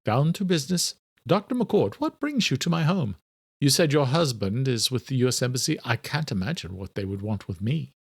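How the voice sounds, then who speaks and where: A man voicing an elderly scholarly doctor in an audiobook, courteous and direct, with formal, deliberate, old-fashioned phrasing. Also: mid-range voice, sing-song, creaky, husky, no room sound, studio quality, pitched above the performer's own voice, heavily acted. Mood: genial, courteous. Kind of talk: audiobook character dialogue.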